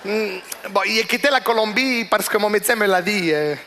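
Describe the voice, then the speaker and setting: A man voicing a polite Colombian newcomer who speaks slowly and haltingly, with a deadpan manner, in a stand-up act. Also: sits high, slight background noise. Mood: polite, hesitant.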